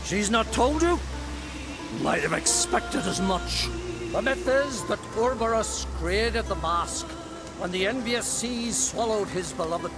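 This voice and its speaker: A stern, proud scholar who recounts a legend with grave, unhurried weight. His voice sits high and moves a lot, rising and falling as he goes. Game music plays clearly behind him. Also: expressive, low-quality recording. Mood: solemn. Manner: measured, grave storytelling. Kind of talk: game cutscene dialogue. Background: game score music.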